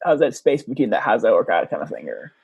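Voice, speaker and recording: A man's voice: medium pitch, very animated, quick pace, vocal fry, slightly rough, phone-quality sound. A man interviewing casually, with loose, meandering questions.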